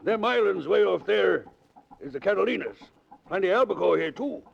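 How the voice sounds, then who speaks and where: A very creaky, gravelly old salt of a boat captain in a vintage radio drama. He is high-voiced, hearty and respectful, talking in folksy nautical dialect. The recording is muffled, with hiss behind him. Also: animated, brisk, full character voice. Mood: cheerful. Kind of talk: 1940s radio drama acting.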